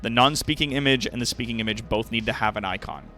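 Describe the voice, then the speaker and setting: A man's voice: medium pitch, quick pace, bright, forceful tone, soft background music. A man hosting a livestream in a casual, rambling, chatty way, informal and given to swearing. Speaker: livestream host.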